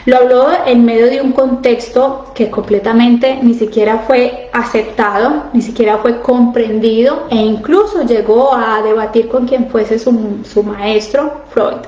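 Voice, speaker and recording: A woman's voice: fairly high pitch, steady tone, a little slow, hardly any pauses, dark tone, roomy sound, lo-fi sound. A woman in her thirties coaching her audience in a casual live stream, earnest and asking direct questions. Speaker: coach on emotional eating, live stream host. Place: home, living abroad.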